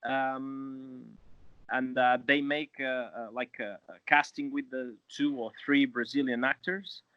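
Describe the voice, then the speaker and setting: A man's voice: mid-range voice, little pitch movement, dry recording. A young man with a Portuguese accent talks over a video call, searching for words with plenty of fillers and restarts. The sound is dry and a bit dull, like webcam audio in a small room. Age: 20s. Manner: halting, many fillers and restarts. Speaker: guest actor. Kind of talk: casual interview. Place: small room at home, indoors.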